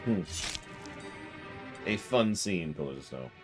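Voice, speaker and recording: A man's voice: deep voice, expressive, slow pace. A man giving casual, analytical game commentary to a live chat in a blunt, conversational way. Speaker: game streamer. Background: game music.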